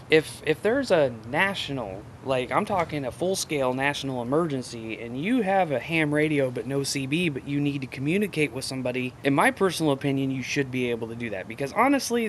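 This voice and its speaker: A man's voice: mid-range voice, slight background noise. A man casually showing his radio gear at home, rambling in a folksy way and talking straight to his viewers. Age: adult, married father. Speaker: radio hobbyist vlogger. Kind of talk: casual show-and-tell vlog. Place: indoors at home.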